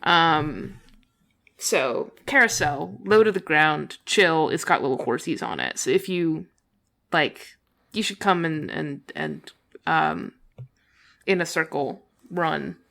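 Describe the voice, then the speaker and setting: A woman's voice: low-pitched, animated, takes it slowly, vocal fry, fairly bright tone. A woman co-hosting a podcast in casual chat, rambling and giggling often, coming down with a cold. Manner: giggly, rambling with many fillers. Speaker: podcast co-host.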